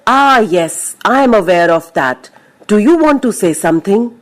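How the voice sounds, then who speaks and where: A woman voicing a wise, measured male emperor with a regal, commanding manner in a children's story. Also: mid-range voice, expressive, close to an everyday voice. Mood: considering.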